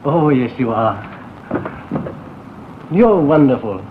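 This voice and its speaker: An actor playing an earnest, smitten young suitor with tender, ardent delivery in an old romantic drama. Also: male voice, mid-range voice, very animated, takes it slowly. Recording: noisy, tinny old film soundtrack.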